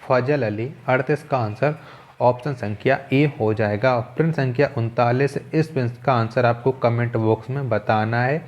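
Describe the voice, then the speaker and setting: A man's voice: medium pitch, steady tone, moderate pace, a little mellow, dull audio. A man teaching an exam quiz lesson, methodically reading out questions and repeating key answers.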